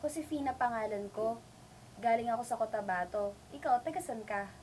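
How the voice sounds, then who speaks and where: A woman playing an earnest young provincial student and affectionate daughter in an amateur radio drama, reassuring and gently teasing. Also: on the high side, fairly quick, a little hiss or hum, natural voice. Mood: friendly, candid.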